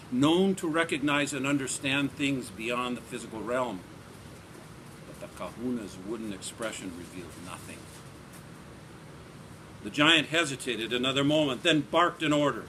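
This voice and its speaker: Bright-voiced man giving a dramatic reading. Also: mid-range voice, slight background noise, low-quality recording. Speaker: author reading aloud from a novel. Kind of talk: dramatic literary reading of scripted narration. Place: live reading at a bookstore event.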